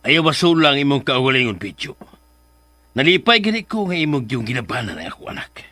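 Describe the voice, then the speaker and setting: Radio drama casting: long-suffering father, forceful edge, husky, earnest sorrow, dry close studio sound. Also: mid-range voice, sing-song, brisk, no room sound.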